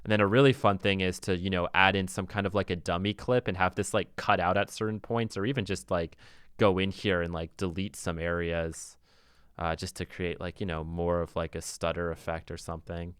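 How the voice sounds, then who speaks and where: Male tutor, low-pitched, very dry close recording, casual demo style, informal and thinking out loud. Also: fairly even intonation, brisk, smooth, dry recording. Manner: informal, thinking aloud while demonstrating. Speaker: music production tutor. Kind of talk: casual software tutorial talk.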